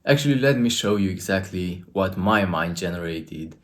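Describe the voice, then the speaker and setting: A man with a Romanian accent speaks in a very deep, low register. He coaches his viewers directly to camera, earnest and emphatic, in the lecturing tone of a motivational talk. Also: lively intonation, moderate pace.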